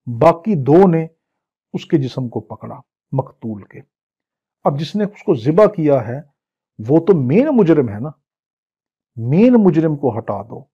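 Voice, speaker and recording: Husky Pakistani man teaching, muffled audio. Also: a little high, mellow. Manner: didactic, posing questions and answering them himself. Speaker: law teacher. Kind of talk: exam-prep lecture, reading statute clauses aloud then translating and explaining. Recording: dull, lo-fi lecture audio.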